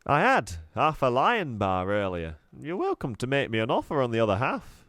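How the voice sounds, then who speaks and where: A man's voice: medium pitch, huge pitch swings, normal speed, a bit bright, no echo, clean studio sound, pitched above the performer's own voice. A man doing a jolly, chatty shopkeeper. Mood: cheery salesy. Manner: eager salesman patter. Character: jolly, chatty middle-aged male shopkeeper.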